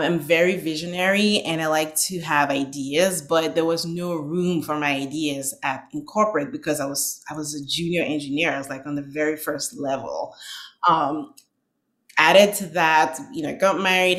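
Woman, 30s, from Nigeria; lowish voice; reflective interview guest, ums and restarts. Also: a bit bright. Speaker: guest, franchise owner. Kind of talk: interview storytelling.

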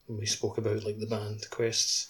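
A man's voice: fairly low pitch, completely flat delivery, moderate pace, fairly bright tone, a little hiss or hum. A man co-hosting a casual gaming podcast, speaking informally and conversationally. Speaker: podcast co-host. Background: faint music.

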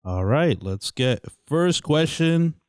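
A man's voice: on the high side, animated, slow pace, a little mellow, smooth, no echo, studio quality. A young man co-hosting a casual podcast, reading out fan questions in an upbeat, teasing way.

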